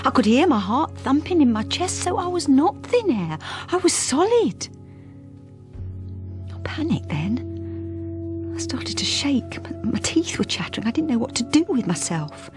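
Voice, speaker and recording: An actress playing a troubled middle-aged British wife who speaks hesitantly and confidingly in a radio drama. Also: female voice, high voice, sing-song, measured pace, over music. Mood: frightened. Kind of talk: intimate first-person radio drama narration and dialogue.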